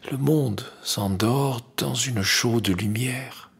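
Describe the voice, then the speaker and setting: A man with a strikingly husky, breathy voice recites classic verse. He takes his time over each phrase, with gravel in the tone and hushed touches that sink toward a whisper. The delivery is dramatic and deliberate. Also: medium pitch. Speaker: poetry reader.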